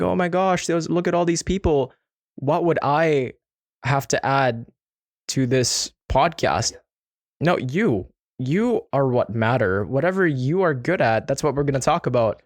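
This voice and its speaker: A man's voice: medium pitch, no echo, clean studio sound. A man hosting a podcast, speaking in an earnest, encouraging, motivational way in casual conversation. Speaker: podcast host.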